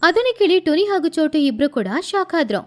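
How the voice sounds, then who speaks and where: Lady telling a kids story, high voice, really lively up and down, faint music behind. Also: a little fast. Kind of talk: animated children's story narration.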